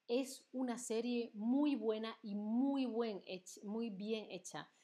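A woman's voice: mid-range voice, dark tone. A young woman from southern Spain who uses a neutral standard accent and teaches Spanish on a live stream, talking to viewers and reading out their chat messages. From Andalusia, Spain. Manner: chatty, asks viewers questions and reads out chat. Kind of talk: live-stream language lesson.

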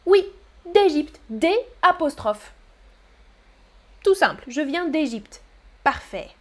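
A woman with a high voice runs a live French class at an unhurried pace. She pronounces each word carefully for learners and keeps cheering them on with repeated praise. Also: animated. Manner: encouraging, repeats praise words; slow, careful articulation for learners. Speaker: French teacher. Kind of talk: live-streamed language lesson reading chat.

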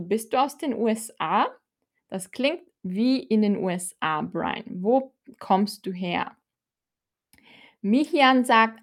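A woman leads a live-streamed language lesson at a deliberately slow pace. Her voice sits at an ordinary middle pitch, and she speaks clearly, repeating key words for her learners. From Austria. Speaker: German teacher.

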